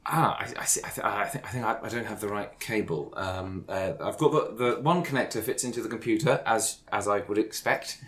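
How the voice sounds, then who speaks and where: A man's voice: fairly low pitch, brisk, few pauses, a bit bright, lightly acted. A man voicing an awkward, literal-minded office accountant, hesitant, precise and apologetic as he stammers along. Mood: earnest, hesitant.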